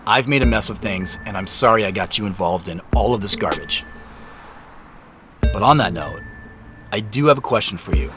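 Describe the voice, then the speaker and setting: A man's voice: on the low side, animated, moderate pace, pauses often, music in the background, lightly acted. A man acting a harried, apologetic character on a phone call in an audio drama, casual and hesitant. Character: harried, apologetic man hiding his part in a scandal.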